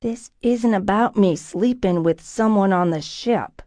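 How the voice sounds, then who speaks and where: A woman's voice: medium pitch, a little slow, mellow, no room sound, close to an everyday voice. A woman voicing a defiant young woman soldier who answers back in tense retorts.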